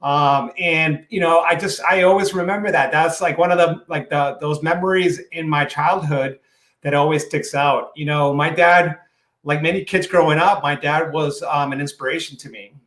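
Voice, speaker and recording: A man's voice: a little high, steady tone, normal speed, fairly bright tone. A chatty man in his forties, originally from Puerto Rico with Spanish as his first language, speaking in a slightly raised voice. He answers interview questions in a loose, casual way, full of "you know" asides. The sound comes over a video call from a room at home with a roomy echo. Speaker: podcast guest.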